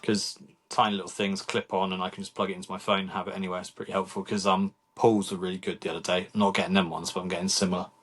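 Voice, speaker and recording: A man co-hosting a casual podcast who is losing his voice and talks in a quick, offhand, joking way. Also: sits low, flat delivery, slightly rough. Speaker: podcast co-host. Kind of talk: casual chat.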